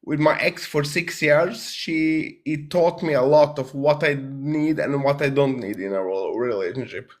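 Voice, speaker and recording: A Croatian man in his thirties calls into a dating show over a video call. He speaks English as a second language, in a voice a touch high for a man. He is earnest but halting, filling his pauses with "uh" and restarting his sentences. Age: 30s. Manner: hesitant, many uh and restarts, earnest.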